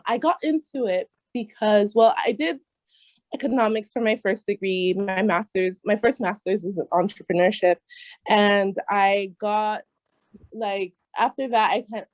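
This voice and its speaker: A woman's voice: medium pitch, dry recording, highs cut off. A young woman with an American accent, born in Nigeria, talking casually as an interview guest and hedging with many fillers and restarts. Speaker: guest trade expert.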